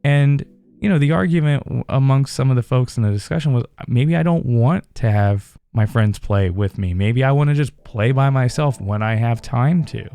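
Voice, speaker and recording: A man hosting his gaming channel, talking in a relaxed, rambling way with fillers, his voice still strained from a head cold. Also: medium pitch, moderate pace, gravelly, clear, resonant tone, no echo, soft background music. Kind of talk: casual gameplay commentary.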